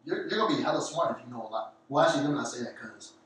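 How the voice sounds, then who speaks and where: A young man from the American South with a Southern accent tinged with Jamaican, co-hosting a casual chat in an animated, slangy way. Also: mid-range voice, rapid-fire. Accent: Southern US mixed with Jamaican. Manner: animated, laughing, lots of slang and fillers. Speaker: podcast co-host. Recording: echoey room, distant mic, faint hiss.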